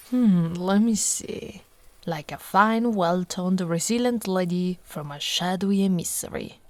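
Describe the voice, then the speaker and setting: Woman playing an unhurried, affectionate orc warrior woman, close-up. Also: lowish voice, no room sound, natural voice. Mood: flirty, teasing. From Italy. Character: affectionate, teasing female orc warrior, afraid of spiders. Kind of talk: ASMR roleplay, intimate acting. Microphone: close, dry microphone.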